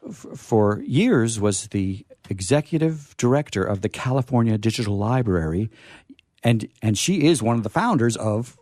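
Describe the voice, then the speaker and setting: Male host for live radio chat: very expressive pitch, discursive and a touch theatrical, in a dry studio sound. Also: normal speed, no echo. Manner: rambling, playfully theatrical. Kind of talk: chatty live radio talk.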